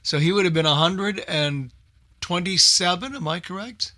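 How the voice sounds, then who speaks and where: A man's voice: high voice, normal speed, sharp tone. A man presenting a radio show in casual group chat, thinking aloud in a hesitant way.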